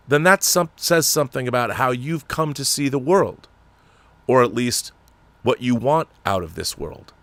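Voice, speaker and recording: A man's voice: mid-range voice, fairly bright tone, dry recording. A man reading an opinionated essay aloud as a commentary host, with emphatic, rhetorical phrasing.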